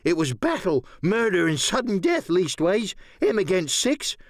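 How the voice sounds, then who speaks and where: A half-crazed old castaway sailor in a for an audiobook radio play. The voice is pitched high and leaps up and down as he rambles, repeats himself and confides with sly shrewdness. The sound is close and dry. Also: big pitch swings, a little slow, no echo, heavily acted. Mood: confiding, excited. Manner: rambling, repetitive, conspiratorial.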